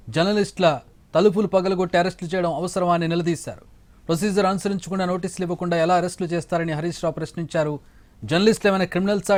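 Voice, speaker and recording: Male news anchor, high voice, rapid delivery, scripted bulletin narration.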